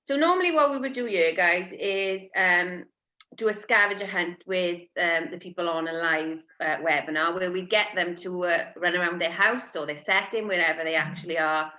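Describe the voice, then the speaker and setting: Bright-voiced woman on dull audio. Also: fairly low pitch, normal speed. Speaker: webinar co-presenter. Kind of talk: training webinar talk.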